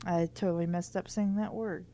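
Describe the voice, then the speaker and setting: A woman's voice: lowish voice, fairly even intonation, normal speed, mellow, no echo. A woman reading a children's book aloud in an informal way, stumbling over words at times and adding wry comments of her own. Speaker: host of a book reading series.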